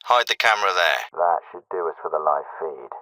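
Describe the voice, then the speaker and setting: An actor playing a gruff, sardonic British special forces team leader in a video game, giving terse, dry military commands. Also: male voice, low voice, expressive, brisk, sharp tone, no echo, full character voice, poor audio. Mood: calm, low. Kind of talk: military radio chatter and commands.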